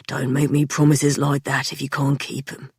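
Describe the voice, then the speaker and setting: A low, tired young man, protective and dryly teasing, as a woman narrator puts him on. The storybook voice sits close and dry, with the pitch pushed well down. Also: monotone, moderate pace, darkish tone, husky, dry recording, heavily acted. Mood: weary.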